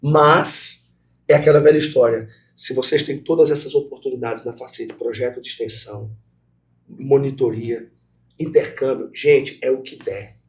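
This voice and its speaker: A middle-aged man with a Brazilian Portuguese accent, a guest professor telling stories in a lively conversational interview and speaking straight to the students. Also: medium pitch, normal speed. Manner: animated, talks to students directly.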